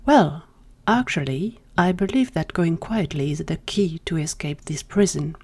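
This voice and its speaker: A woman plays an earnest mad scientist, a man of quiet pride. He speaks softly and in a measured way, as in an audio drama. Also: low-pitched, expressive, slightly rough, no room sound, heavily acted. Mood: secretive.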